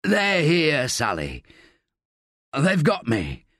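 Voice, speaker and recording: A man's voice: fairly high pitch, huge pitch swings, extremely slow, a bit bright, dry recording, studio quality, pitched above the performer's own voice, exaggerated voice. A man reading an audiobook, voicing a dying man who speaks urgently and earnestly. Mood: urgent, resigned. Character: dying man, weary and resigned.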